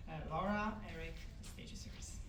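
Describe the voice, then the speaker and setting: A woman's voice: fairly low pitch, fairly even intonation, normal speed. A woman with a Polish accent giving a formal welcome speech with frequent "uh" restarts. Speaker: event host. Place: event hall. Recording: echoey hall, mic from a distance, audible noise.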